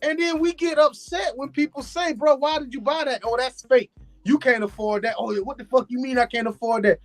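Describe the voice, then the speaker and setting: A man on a talk show arguing in casual chat, blunt and animated. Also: extremely high pitch, fairly quick, no echo, soft background music. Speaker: show regular.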